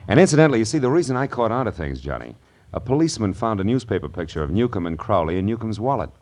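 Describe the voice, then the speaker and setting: An actor playing a wry, world-weary but kindly private detective in a hard-boiled 1940s radio drama, with assured, clipped phrasing. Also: male voice, lowish voice, sing-song, rapid-fire, a little mellow. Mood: wry explaining. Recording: vintage 1940s radio broadcast.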